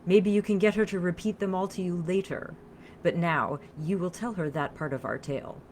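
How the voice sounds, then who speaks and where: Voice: medium pitch, normal speed, darkish tone, slight background noise, voice pushed up, natural voice. A narrator voicing an elegant female vampire lover in a story, speaking in a caressing, formal, commanding way. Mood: gently commanding.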